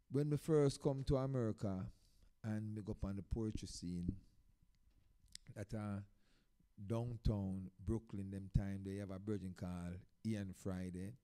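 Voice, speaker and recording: A man's voice: on the low side, a little slow, pauses often, warm tone, smooth. A man with a Jamaican accent speaking in Patois as a performing poet, telling stories to an audience in short phrases with emphatic swells. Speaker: dub poet performer introducing a live event. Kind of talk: informal stage talk, storytelling to an audience.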